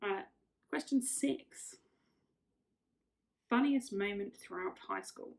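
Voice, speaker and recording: Husky young woman chatting. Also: mid-range voice, slow. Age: 20s. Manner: chatty, rambling, self-correcting. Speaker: vlogger. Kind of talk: casual get-to-know-me chat.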